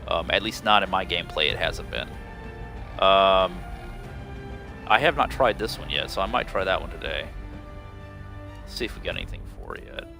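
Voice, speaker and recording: A man with a slightly stuffy, nasal voice from a cold, casually commenting on gameplay in a rambling, unhurried way with many ums. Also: low-pitched, big pitch swings, vocal fry, over music. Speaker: gaming video host.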